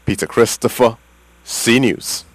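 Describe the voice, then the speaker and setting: A man's voice: medium pitch, steady tone, unhurried, dry recording, low-quality recording. A man with a Trinidadian accent narrating a scripted television news report.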